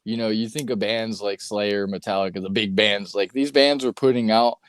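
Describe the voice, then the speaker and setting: A man hosting a casual podcast interview, relaxed and loose, swearing freely and filling his sentences with "uh" and "like.". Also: lowish voice, normal speed, clean tone, no echo. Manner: laid-back, swears freely, lots of filler words. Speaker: podcast host.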